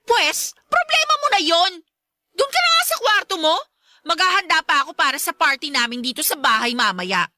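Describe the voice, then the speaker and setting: A woman's voice: extremely high pitch, sing-song, brisk, full character voice. A woman playing a haughty, bossy stepmother in a radio drama, snappish and taunting, with a Filipino accent. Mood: bossy, annoyed. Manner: sharp, taunting, commanding.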